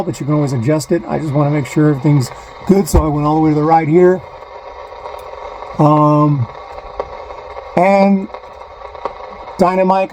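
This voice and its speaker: A man giving a casual hands-on demonstration, talking in a halting way as he thinks aloud, recorded on a phone inside a van. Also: on the high side, a little mellow, low-quality recording. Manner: halting, thinking aloud while handling equipment. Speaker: vlogger, amateur DIY installer. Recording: clear background noise, radio static and clicks. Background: CB radio static and knob clicks.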